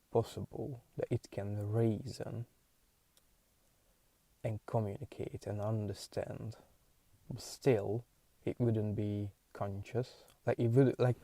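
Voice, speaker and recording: A young man with a Hungarian accent, a podcast host thinking aloud in a halting, searching way with many restarts. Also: lowish voice, vocal fry, warm tone, dry recording. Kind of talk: solo musing monologue.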